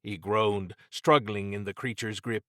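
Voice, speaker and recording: A man narrating horror fiction as an audiobook, with measured, dramatic storytelling. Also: lowish voice, fairly even intonation, pushed and bright, no room sound, studio quality.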